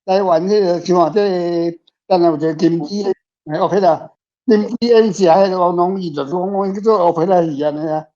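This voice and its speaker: Mellow Taiwanese man, rambling and repetitive. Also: high-pitched, brisk. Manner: rambling, repeats words and phrases. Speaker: guest author answering questions. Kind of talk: casual panel discussion. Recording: dull, lo-fi sound.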